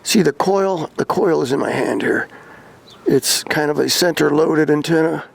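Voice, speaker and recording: Gravelly American man, casual talk. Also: a little high, normal speed. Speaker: vlogger and CB radio hobbyist. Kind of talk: casual hands-on gear talk.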